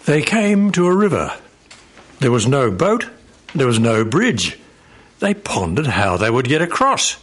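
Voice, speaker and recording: A man's voice: mid-range voice, big pitch swings, measured pace. A man narrating a story as part of a live radio theatre performance. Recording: noisy live radio studio recording.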